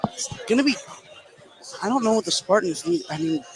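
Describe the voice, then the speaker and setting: A man's voice: high-pitched, expressive, fairly quick, poor audio. A man working as a color analyst, explaining a live basketball game in a casual, conversational way. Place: indoor basketball gym.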